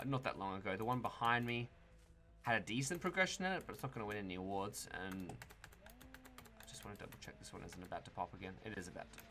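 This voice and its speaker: A young Australian man giving casual commentary over his own gameplay, talking to himself and the players and swearing now and then. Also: medium pitch. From Tasmania, Australia. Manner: relaxed, talks to himself and the players, mild swearing. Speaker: gaming YouTuber.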